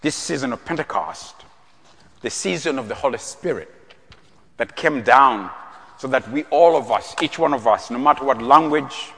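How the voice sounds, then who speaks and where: A man's voice: mid-range voice, lively intonation, normal speed, a bit bright. Preaching man from Uganda, reverberant church. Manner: earnest, repetitive, rhetorical questions. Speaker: prison chaplain preaching as guest. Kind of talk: sermon.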